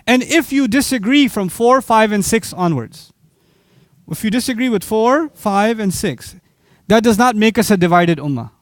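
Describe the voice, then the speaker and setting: A high-pitched man delivers a lively religious lecture, firing rhetorical questions at his listeners and answering them himself. His voice sits close and dry, with no room around it. He talks with quick asides and sudden jumps in pitch when he makes a point. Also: no echo. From Pakistan. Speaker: Islamic speaker. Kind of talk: lecture to a live audience.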